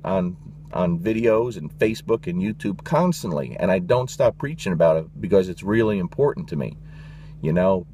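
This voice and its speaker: Middle-aged male dog trainer, low voice, emphatic and blunt, casual swearing, faint hum behind. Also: darkish tone. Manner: passionate, emphatic, repetitive with frequent "you know" and "guys". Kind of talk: direct-to-camera vlog rant.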